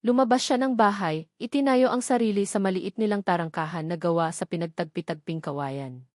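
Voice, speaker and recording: A woman with a Filipino accent reading scripted romantic drama narration in a measured, emotive way. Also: fairly low pitch, darkish tone, dry recording, clean studio sound. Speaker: audiobook story narrator.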